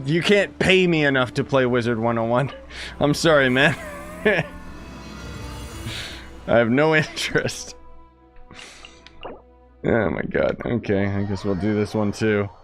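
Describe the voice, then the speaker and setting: A man's voice: mid-range voice, expressive, a little slow, pauses often, fairly bright tone, soft background music. A man giving casual, slangy live commentary as he plays a game and chats with viewers. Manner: informal, slangy, exclaims and chuckles while playing. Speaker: gaming streamer.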